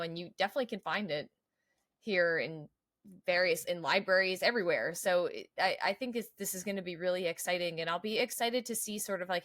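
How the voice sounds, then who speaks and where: A woman's voice: lowish voice, fairly bright tone, no echo, clean studio sound. An American woman co-hosting a podcast, chatting casually in an agreeable, conversational way. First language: English. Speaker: podcast co-host.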